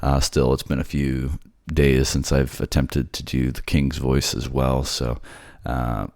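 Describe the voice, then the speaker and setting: A man with a remarkably low voice full of creak talks casually and sleepily, close to the mic in a dry space. His delivery is hesitant and modest. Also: little pitch movement, a little slow, darkish tone, no echo. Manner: hesitant, apologetic, low-key. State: sleepy, just woke up. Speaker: voice actor. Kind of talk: casual outro chat and soft narration.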